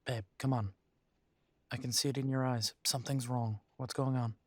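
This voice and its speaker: A man playing a troubled, tender werewolf boyfriend in an intimate roleplay audio drama, talking haltingly with sighs. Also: medium pitch, fairly even intonation, dry recording, natural voice. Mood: concerned, puzzled.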